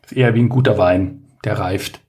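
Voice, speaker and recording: A man's voice: fairly low pitch, a little fast, a little mellow. A man in his late forties, a guest coach on a podcast, talking casually with lots of fillers, restarts and self-mocking jokes. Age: 40s.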